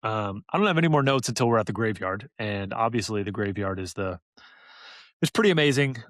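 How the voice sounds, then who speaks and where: A man's voice: on the low side, expressive, a little fast, fairly bright tone, dry recording, studio quality. A man co-hosting a podcast, chatting casually and enthusiastically with emphatic praise. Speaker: podcast host. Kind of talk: casual review chat.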